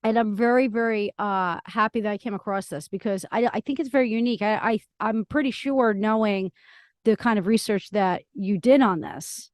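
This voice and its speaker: A woman's voice: medium pitch, normal speed, dry recording, studio quality. A woman hosting a podcast, talking casually in an effusive, emphatic way. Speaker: podcast host. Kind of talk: casual conversational interview.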